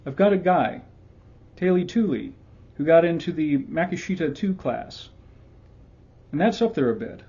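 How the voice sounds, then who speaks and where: A brash, boastful sumo recruiter sells his fighter hard, the voice mid-pitched and mellow, as a man reads him out. The sound is dull and lo-fi. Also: expressive, moderate pace, creaky, pauses often, slightly rough, heavily acted.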